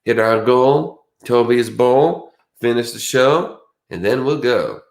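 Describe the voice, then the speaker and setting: A man's voice: mid-range voice, animated, takes it slowly, gravelly. A man with a Boston accent, sleepy and chatting in a rambling, casual way as co-host of a true crime stream. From Boston area. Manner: meandering, chatty, restarts often. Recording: roomy echo.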